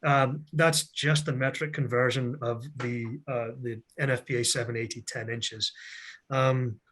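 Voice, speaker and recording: A middle-aged man from Scotland with a mixed Scottish and American accent, presenting a virtual technical seminar over a video call in a chatty, joking, energetic way. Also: mid-range voice, a little slow, a little hiss or hum.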